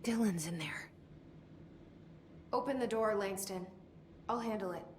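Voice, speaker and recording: An actress playing a guarded, determined young agency director in a video game, earnest and restrained in manner. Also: female voice, mid-range voice, measured pace, darkish tone, slight background noise, natural voice. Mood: inward, urgent, then firm, brisk. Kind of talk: video game cutscene acting.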